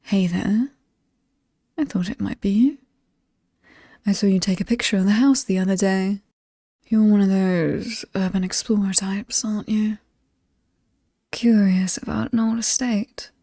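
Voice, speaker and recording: Slow, creaky, seductive British demon lady, played by a woman. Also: medium pitch, fairly even intonation, dark tone, no echo, studio quality. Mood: playful, alluring. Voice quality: breathy, often dropping to a whisper. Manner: slow, teasing, coolly amused and aristocratic. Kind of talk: horror ASMR roleplay. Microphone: close, dry microphone.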